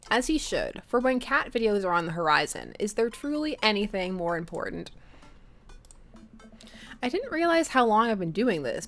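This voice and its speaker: A woman's voice: medium pitch, a bit bright. A young woman streaming a dating game, chatting with viewers, laughing often and reading the game text aloud. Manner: giggly, laughs often between lines; chatty, asks viewers questions. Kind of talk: casual let's-play chat, reading game text aloud.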